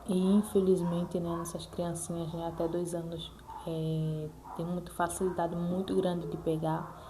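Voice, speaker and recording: A woman's voice: lowish voice, level tone, unhurried, background noise. A Brazilian woman talking casually and earnestly to viewers as a mother, repeating herself and adding frequent check-in tags. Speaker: mother sharing her experience in a vlog. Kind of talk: casual personal talk to viewers.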